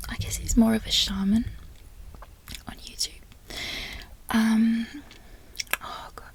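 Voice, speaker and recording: A woman with an Australian accent, an ASMR creator softly whispering repeated trigger words. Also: fairly high pitch, a little hiss or hum, low-quality recording.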